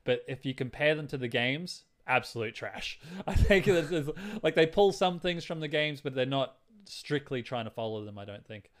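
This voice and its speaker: A man's voice: medium pitch, expressive, normal speed, few pauses, fairly bright tone. Blunt, opinionated man chatting about films. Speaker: podcast co-host. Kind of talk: casual film chat.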